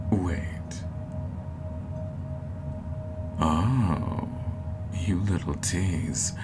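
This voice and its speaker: A man's voice: sits low, heavily acted. A man playing a flirty, playful god of love who poses as a matchmaker, in a teasing, intimate roleplay ASMR style. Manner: teasing, coaxing, conversational. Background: music.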